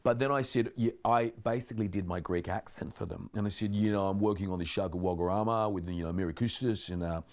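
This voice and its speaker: A man's voice: fairly low pitch, fast talker, hardly any pauses, darkish tone. A man in his forties, an actor, chatting animatedly in an interview in a living room and laughing often. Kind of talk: casual interview chat. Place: living room with bookshelves, indoors.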